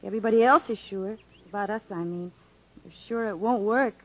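An actress playing an earnest, cautious young country sweetheart in a 1950s American radio drama, gently pressing and a little hesitant. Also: female voice, medium pitch, brisk, natural voice. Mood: anxious, insistent. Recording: dull 1950s radio broadcast recording.

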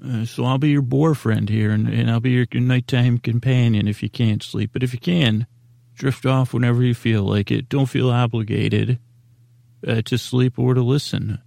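A man hosting a sleep podcast, rambling in a meandering, halting way with a goofy, self-deprecating streak. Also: medium pitch, fairly even intonation, vocal fry, mellow, no room sound, studio quality. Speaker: podcast host.

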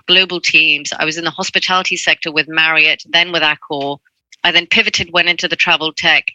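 Casting: earnest woman from Hong Kong, dry close sound, bright edge. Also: fairly low pitch, dry recording, rough recording. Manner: earnest, frequent "right?" and "look". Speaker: diversity and inclusion advocate. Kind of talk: panel interview answer.

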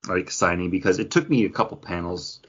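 A man's voice: sits low, brisk, breathy edge, lo-fi sound. A man co-hosting a podcast, talking in casual conversation as he shares his opinions. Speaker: podcast co-host reviewing comics. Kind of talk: casual chat.

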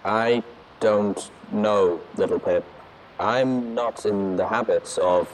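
A man plays a shy storybook robot with a tinny, artificial sound. He speaks loudly but haltingly, unsure and reluctant. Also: on the low side, a little hiss or hum. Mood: reluctant, flustered. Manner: hesitant, halting.

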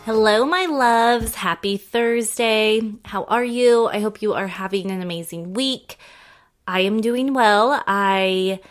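A woman's voice: mid-range voice, slow pace, soft background music. A woman hosting a casual solo podcast, chatting in a rambling, affectionate way while congested from a head cold. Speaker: podcast host.

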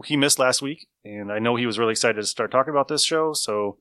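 A man's voice: medium pitch, fast, sharp tone, clear, resonant tone, no echo, studio quality. A man hosting a podcast in a casual, informal chatting style. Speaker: podcast host. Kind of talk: casual chat.

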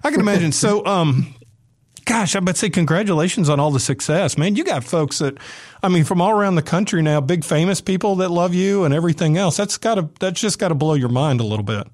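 Man, light Southern accent, radio host, chatty and jokey, slightly high voice. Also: animated, darkish tone. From Atlanta, Georgia. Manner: chatty and jokey, quick asides. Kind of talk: casual radio interview.

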